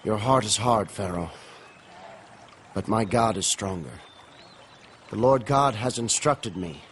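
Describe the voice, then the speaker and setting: An actor playing a solemn, steadfast prophet in an animated film, speaking gravely and earnestly with command. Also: male voice, lowish voice, takes it slowly, a little hiss or hum. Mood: grave, pleading.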